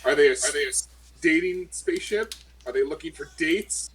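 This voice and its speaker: High-pitched wisecracking male player. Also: expressive, normal speed, a bit bright, husky, poor audio. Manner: jokey, wisecracking. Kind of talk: casual tabletop play.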